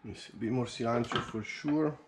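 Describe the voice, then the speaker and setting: A man's voice: mid-range voice, brisk. Chatty man filming on a head camera. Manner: chatty, upbeat, thinking aloud with fillers. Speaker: home cook vlogger. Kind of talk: casual cooking commentary. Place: home kitchen, indoors. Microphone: head-mounted action camera. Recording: action camera audio with clear background noise.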